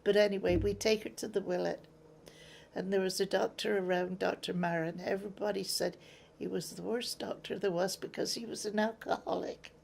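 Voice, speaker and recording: A woman's voice: medium pitch, fairly even intonation, brisk, vocal fry, darkish tone, slight background noise. An older woman from England with an English accent, recounting her memories in an interview in a plain-spoken way. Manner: plain-spoken storyteller, acts out remembered exchanges.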